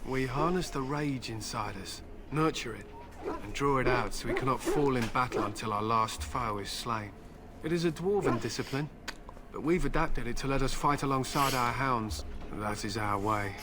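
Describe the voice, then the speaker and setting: A man's voice: mid-range voice, normal speed, background noise, natural voice. An actor playing a stern male warrior in a video game, solemn and curt, speaking proudly of battle and his hounds. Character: stern male human warrior, grim hunter with war hounds. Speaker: game character. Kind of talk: voiced game dialogue. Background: loud game music.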